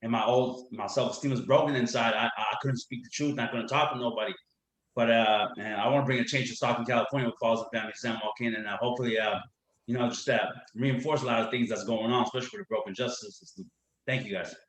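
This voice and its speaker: Fast-talking man on a roomy video call. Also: mid-range voice, steady tone, fairly bright tone. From Afghanistan. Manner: halting, many restarts and fillers. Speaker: workshop participant, intern. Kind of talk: casual self-introduction.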